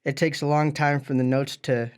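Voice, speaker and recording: A man's voice: a little high, flat delivery, moderate pace, clear, resonant tone. A man presenting a music gear tutorial in an easygoing, informal step-by-step way.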